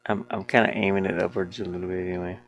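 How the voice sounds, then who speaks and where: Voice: sits low, little pitch movement, fast. A game developer talking casually to themselves while they work, muttering with playful asides. The speaker's voice could be a man's or a woman's.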